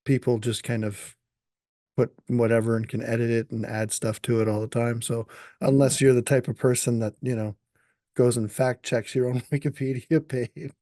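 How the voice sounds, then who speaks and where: A man from eastern Canada hosts a casual podcast, talking in a loose, unhurried way with plenty of fillers and easy laughter. His voice sits mid-range for a man and the sound is dry, with no room around it. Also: mid-range voice, little pitch movement, dark tone, dry recording. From New Brunswick, Canada. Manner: easygoing, many ums and uhs, laughs often. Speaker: podcast host. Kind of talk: casual interview chat.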